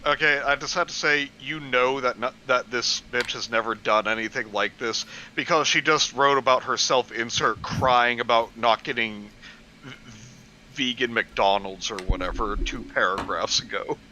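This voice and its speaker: A man's voice: medium pitch, normal speed, bright, forceful tone, highs cut off. A young man co-hosting with casual, mocking comic banter and some swearing. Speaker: co-host.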